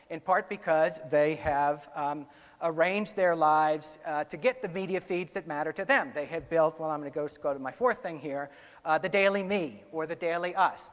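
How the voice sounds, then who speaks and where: A man's voice: on the high side, fairly bright tone. American man presenting in an echoey hall. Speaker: conference keynote speaker. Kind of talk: conference presentation on research findings. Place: indoor conference hall, on stage. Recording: echoey hall with long reverb.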